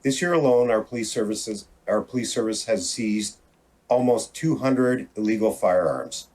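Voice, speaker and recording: A man's voice: lowish voice, animated, moderate pace, darkish tone, breathy edge, poor audio. A male police official reading a formal, prepared public statement.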